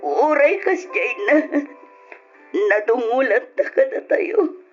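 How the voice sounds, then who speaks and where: A grieving Ilocano Filipino mother in an old radio drama, devout and tender. She speaks very slowly and pleadingly in a high, tearful voice. The recording is muffled, with music clearly under her. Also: sing-song, full character voice. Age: middle-aged. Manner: slow, tearful, pleading delivery.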